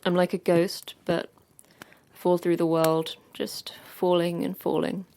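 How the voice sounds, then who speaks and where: A woman's voice: lowish voice, level tone, unhurried, a little mellow, smooth. A woman playing a terse, hardened manager of a secret facility in an audio drama, blunt and curt. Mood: haunted.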